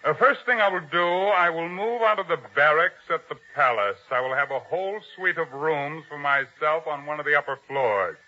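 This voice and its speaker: An actor playing a proud, ambitious army captain, boastful and self-important, in a 1950s radio drama style. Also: male voice, a little high, moderate pace, few pauses, pushed and bright. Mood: self-satisfied. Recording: dull old radio broadcast recording.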